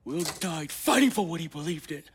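A defiant soldier held captive in a military game. His voice is husky and fairly high, with a strained, challenging edge. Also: expressive, a little fast, exaggerated voice. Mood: bitter, challenging. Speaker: captured soldier. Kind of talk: dramatic video game voice acting.

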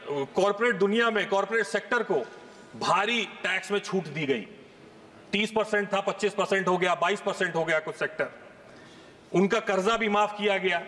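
A man's voice: sits high, a bit bright. A man in his thirties giving an emphatic parliamentary speech full of rhetorical questions, speaking into a desk microphone in a large chamber. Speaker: member of parliament. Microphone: fixed desk microphone. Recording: long hall reverb from chamber.

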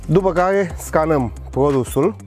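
A man's voice: on the high side, a little mellow, soft background music. A man in his thirties presenting and demonstrating a product in a large warehouse, upbeat and scripted. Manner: upbeat, demonstrating while walking and working.

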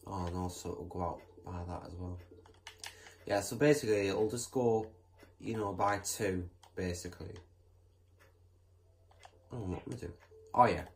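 A male host chatting casually while building in a game, rambling with lots of fillers and restarts, sometimes bursting into excited exclamations. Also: low-pitched, moderate pace, vocal fry, long pauses, slight background noise. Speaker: gameplay channel host.

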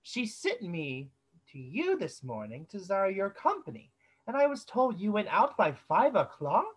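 A woman's voice: medium pitch, wildly swooping pitch, moderate pace, slightly rough, rough recording. A woman playing an eager, deferential servant in a theatrical Restoration comedy over a video call. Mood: eager, polite.

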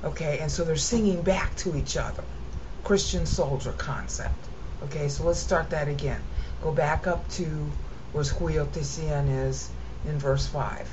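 A woman's voice: low voice, measured pace. An American woman teaching from a screen, explaining a text and chanting it aloud in a rhythmic cadence. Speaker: Bible teacher. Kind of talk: screen-share lesson with chanted reading. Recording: dull lo-fi audio with steady noise.

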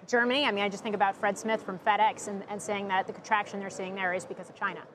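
A woman's voice: mid-range voice, level tone, fast, noisy background. A woman anchoring a financial news panel, asking long probing questions. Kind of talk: live financial news interview. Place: TV studio on a trading floor.

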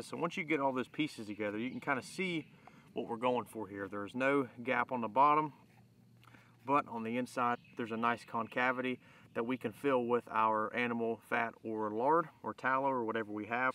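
A man with a bright, forward voice at an ordinary middle pitch talks through a hands-on tutorial. He is informal and plain-spoken, explaining each step as he works outdoors. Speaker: bushcraft demonstrator, host. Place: outdoors in woodland.

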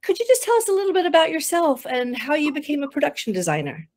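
A woman's voice: high voice, very animated, fast talker, a little mellow. A woman in her fifties hosting an interview over a video call from an indoor room. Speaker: host, guild chairperson. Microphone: computer mic on a video call.

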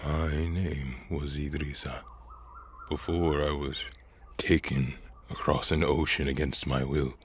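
An actor playing a frail, gentle old great-grandfather and storyteller in an audio drama, speaking haltingly and wearily. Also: very deep voice, breathy edge, heavily acted. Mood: weary, solemn. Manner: halting, tender, weary.

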